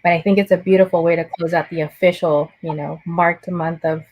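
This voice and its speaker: Host, female, lower voice, affirming tone with Spanish sprinkled in, video call audio. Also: fairly even intonation, a little fast, darkish tone, low-quality recording. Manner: mixes Spanish phrases into English; affirming, frequent "right?" tags. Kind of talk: casual conversation on a video call.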